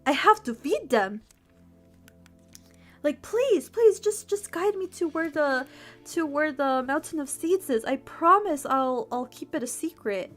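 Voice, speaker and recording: A woman voicing a pleading, excitable male serpent god in a folktale, urgent and repetitive. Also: high-pitched, normal speed, hardly any pauses, faint music behind, full character voice.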